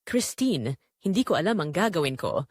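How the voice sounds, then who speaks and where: A woman's voice: low voice, very animated, moderate pace, husky, no room sound, clean studio sound, heavily acted. Woman voicing a fragile, pleading heartbroken woman. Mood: desperate. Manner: tearful, pleading, weak. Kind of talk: romantic audio drama acting.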